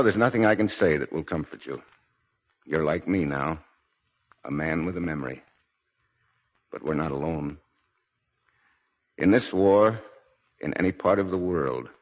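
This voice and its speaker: A man acting as a stoic, fatherly military commander in 1940s radio drama, grave, terse and commanding. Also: very deep voice. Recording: muffled 1940s radio broadcast recording.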